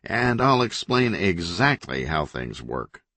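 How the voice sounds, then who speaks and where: A blunt, commanding governor, as a male narrator voices him in his own low, dry voice. He talks with tight control and shifts into profane orders, with a lively rise and fall of pitch in an audiobook reading. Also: slow pace, no echo, full character voice. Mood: cold, even. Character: hot-tempered male state governor, forceful.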